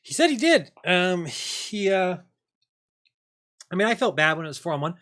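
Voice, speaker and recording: A man's voice: high-pitched, normal speed. A man hosting a call-in show, chatting casually with callers and making sarcastic jokes. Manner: animated, joking, sarcastic asides. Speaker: host.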